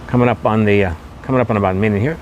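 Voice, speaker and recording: A man's voice: fairly low pitch, rapid-fire, darkish tone. A man hosting a casual walking tour outdoors, talking in repetitive promotional patter. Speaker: podcast host and walking tour guide. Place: outdoors on a shopping mall street. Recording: noisy outdoor street with passing cars.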